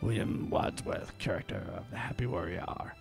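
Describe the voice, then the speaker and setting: A man hosting a community radio show, reading poetry theatrically, swelling loud and then dropping to a whisper. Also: fairly low pitch, normal speed, creaky. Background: faint instrumental music.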